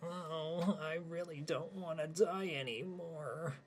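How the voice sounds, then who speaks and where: A man's voice: on the high side, steady tone, takes it slowly, a little hiss or hum, pitched above the performer's own voice. A man voicing a pathetic, self-pitying young man who whines and hesitates with sighing "oh"s. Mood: whiny. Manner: whiny, sighing, hesitant with many "oh" and "uh".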